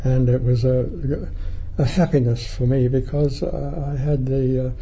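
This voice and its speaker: An elderly man from New Zealand and England reminiscing in an interview, with a halting, reflective, erudite and wryly humorous manner. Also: medium pitch, fairly even intonation, creaky, warm tone, muffled recording. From born in New Zealand, raised in England. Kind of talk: oral history interview reminiscence. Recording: faint background noise.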